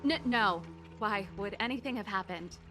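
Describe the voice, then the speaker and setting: An actress playing a confident, playful older sister and inventor in a video game, brisk, teasing and expressive. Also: female voice, medium pitch, natural voice. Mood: flustered. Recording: music behind the voice.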